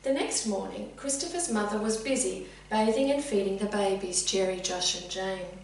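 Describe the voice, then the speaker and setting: A middle-aged woman reading a children's story expressively and deliberately, addressing young listeners directly. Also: medium pitch, lively intonation, vocal fry. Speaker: teacher reading a picture book. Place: indoors, sitting room with bookcase. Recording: very echoey room, distant mic, faint hum.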